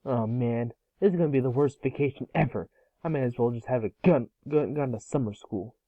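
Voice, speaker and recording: A man playing a sulky, sarcastic and excitable boy in a cartoon script read. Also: mid-range voice, a little fast, dry recording, dull audio, natural voice. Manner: sarcastic, grumbling.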